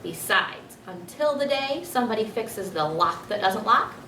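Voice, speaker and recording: Storybook hamster narrator, played by a woman: clever, pleased with himself, expressive. Roomy, slightly noisy recording. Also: medium pitch, normal speed. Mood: playful, self-assured. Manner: expressive children's storybook reading. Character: clever, self-satisfied pet hamster narrating his adventures. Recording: roomy, distant mic, faint noise.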